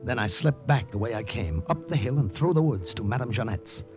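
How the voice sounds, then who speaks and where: An actor playing an undercover American agent, narrating a 1950s radio drama in terse, suspenseful style. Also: male voice, medium pitch, lively intonation, brisk, close to an everyday voice. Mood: tense, suspenseful. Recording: vintage 1950 radio recording, music behind.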